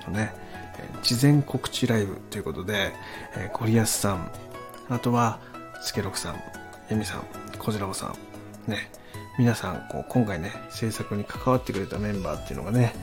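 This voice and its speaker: A man hosting an audio channel, making a casual promotional announcement politely, in short halting phrases. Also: fairly low pitch, gravelly, darkish tone.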